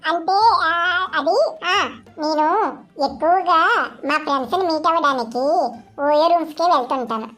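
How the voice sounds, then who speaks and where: Woman voicing a very high-pitched coy cartoon bride. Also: moderate pace, fairly bright tone, faint music behind. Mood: blithe, chatty. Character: chatty, coy young bride-to-be, blithely brazen. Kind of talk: comic cartoon dialogue.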